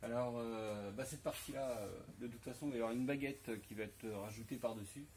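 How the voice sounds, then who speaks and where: A man's voice: medium pitch, fairly quick, a little mellow, slight background noise. A man in his forties with a French accent casually explaining a camper van rebuild in a large workshop, talking through a lapel mic with many hesitations and restarts. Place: large workshop garage, indoors.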